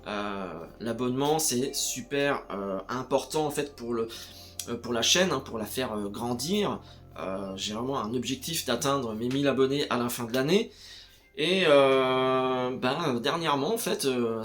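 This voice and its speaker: Chatty French vlogger, lots of hesitations. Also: male voice, medium pitch, lively intonation, measured pace, a bit bright, faint music behind. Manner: hesitant, full of "euh" fillers, addresses viewer as "tu". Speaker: home cinema vlogger. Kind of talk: casual unscripted chat to camera.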